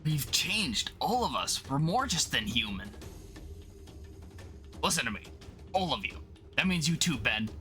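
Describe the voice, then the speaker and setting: A man's voice: a little high, big pitch swings, unhurried, long pauses, sharp tone, soft background music, full character voice. A man voicing an earnest, declamatory scientist and team leader in a dramatic comic-book reading. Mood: resolute. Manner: declamatory, urgent. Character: commanding, earnest male scientist hero, melodramatic team leader.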